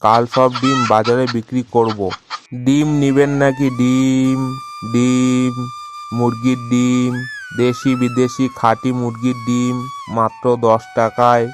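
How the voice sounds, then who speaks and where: A man voicing a grumbling, easily outraged tiger who farms eggs in an animated cartoon. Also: medium pitch, slow, music in the background, natural voice. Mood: cheerful. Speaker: egg seller in an animated fable. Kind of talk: cartoon voice acting.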